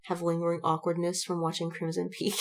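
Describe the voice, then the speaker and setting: A woman's voice: lowish voice, monotone, a little fast. A woman co-hosting a podcast in casual chat, animatedly retelling things and quoting her own reactions. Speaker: podcast co-host. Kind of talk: casual chat about films and fandom.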